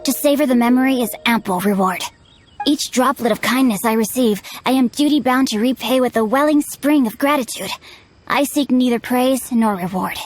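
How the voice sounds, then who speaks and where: A woman's voice: a little high, moderate pace, close to an everyday voice. A woman playing a bookish, chivalrous teenage boy in a video game, speaking in formal, flowery, composed phrases. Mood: lofty. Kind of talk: video game character dialogue. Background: music.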